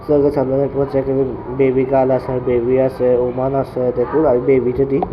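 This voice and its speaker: A man's voice: mid-range voice, monotone, fast talker. A man giving a casual tutorial as a tech video creator. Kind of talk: casual spoken screen-recording tutorial. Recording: dull lo-fi mic with clear background noise.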